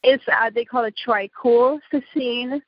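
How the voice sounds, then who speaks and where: A woman's voice: on the high side, steady tone, unhurried, dry recording. A woman from Iran with an Iranian accent, a guest telling her story in a rambling way, with a slight lisp from missing front teeth. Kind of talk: casual interview talk. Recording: dull lo-fi call audio.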